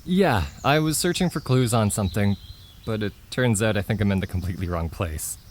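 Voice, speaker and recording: A man's voice: fairly low pitch, lively intonation, brisk, natural voice. A man playing a polite, slightly awkward traveler in an audio drama, casual and apologetic. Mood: awkward, friendly.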